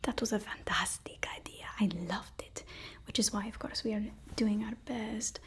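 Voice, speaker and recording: A woman playing a deferential, flustered personal assistant to a supervillain in a halting, whispered ASMR roleplay. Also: mid-range voice, steady tone, close to an everyday voice. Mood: placating, hesitant. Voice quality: soft, breathy whisper.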